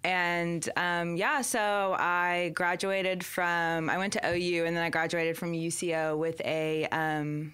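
A woman's voice: on the low side, little pitch movement, slow, hardly any pauses, a bit bright, clear, resonant tone. A young adult woman with an American accent, casually telling her life story as a podcast guest. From Oklahoma, United States. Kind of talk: casual autobiographical chat. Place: indoor podcast room with poster wall. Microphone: desk microphone.

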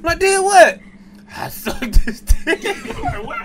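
A young man co-hosting a raunchy comedy podcast in a small studio, loud and laughing as he acts out quoted voices. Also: extremely high pitch, slow, loud music behind the voice. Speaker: co-host. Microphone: studio mic with headphones. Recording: lively crowd of voices behind.

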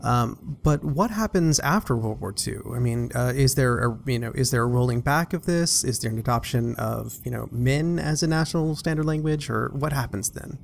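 A man's voice: mid-range voice, animated, normal speed, gravelly, hardly any pauses, slight background noise. Man asking rambling interview questions. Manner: asks questions with many restarts and fillers. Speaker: podcast host.

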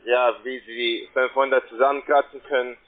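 Halting salesman on a tinny phone line. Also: mid-range voice, flat delivery. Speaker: call centre agent selling trading investments. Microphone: phone call.

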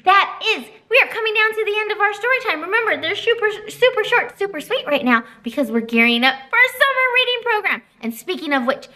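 A woman's voice: very high voice, a little fast, few pauses. A woman in her thirties, a children's librarian, reading a storybook to kids and giving announcements in a sing-song voice from a library room on a webcam. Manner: animated, sing-song teacher voice.

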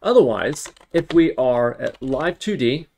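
A man's voice: mid-range voice, animated, measured pace, darkish tone. A man giving an informal tutorial, thinking aloud step by step as he works on screen. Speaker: tutorial instructor.

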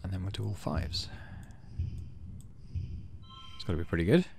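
A man hosting a gaming channel, low-key, casually commenting on play and reading story text aloud. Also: expressive, normal speed, vocal fry, a little mellow, slight background noise.

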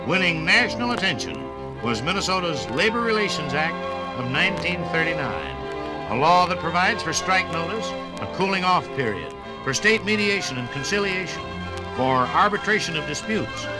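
Male announcer, fairly high voice, formal and dramatic scripted narration over music, vintage 1950s radio record. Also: low-quality recording.

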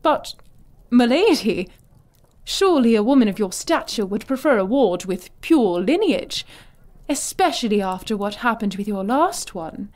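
An actress playing an imperious, cruel older madame in a fantasy audio drama, haughty, silky and condescending. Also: female voice, on the high side, big pitch swings, unhurried, darkish tone. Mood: courtly, obliging.

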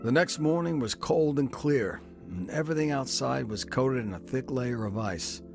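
A man's voice: mid-range voice, expressive, moderate pace, gravelly, music in the background. An actor playing a down-on-his-luck man who narrates his own story in a radio drama. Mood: reflective.

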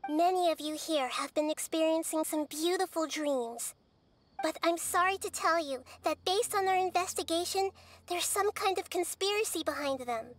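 A woman playing a gentle, wise childlike girl deity in a video game, speaking in a measured, articulate, thoughtful way. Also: very high voice. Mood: serious, cautioning.

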